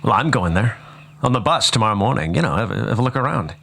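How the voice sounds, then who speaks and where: A man's voice: mid-range voice, sing-song, quick pace, gravelly, fairly bright tone, a little hiss or hum. A man playing an earnest, curious young traveller in a 1930s style radio horror play.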